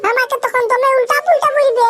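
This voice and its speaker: An actor voicing a tearful, stubborn little village boy in a comic cartoon, with a Purulia Bengali accent, wailing and repeating complaints in a pitched-up voice. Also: fairly even intonation, quick pace. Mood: whiny, insistent. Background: music.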